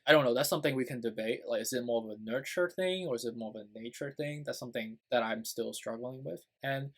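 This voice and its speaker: A young man with a Chinese accent, a native Chinese speaker, talks straight to his channel's viewers in an earnest, rambling way. He fills his sentences with "you know" and "right?" at an ordinary, mid-range pitch. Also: quick pace, fairly bright tone. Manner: rambling, earnest, many fillers and tag questions. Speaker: YouTube channel host. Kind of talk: casual personal talk to camera.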